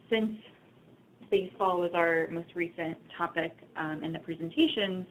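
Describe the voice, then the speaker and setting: Woman moderating a webinar, lowish voice. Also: a bit bright, poor audio. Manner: polite, hesitant with many ums.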